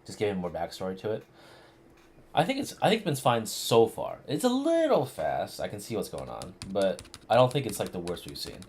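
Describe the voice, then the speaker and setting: A male streamer with a deep voice talks through a game in a loose, slangy, rambling way. Clear noise and game ambience sit behind him. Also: huge pitch swings, brisk, a little mellow. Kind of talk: casual live playthrough commentary.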